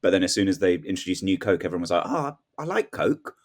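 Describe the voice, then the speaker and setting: A man speaking as a guest on a comedy podcast, in casual, deadpan banter. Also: low voice, fast.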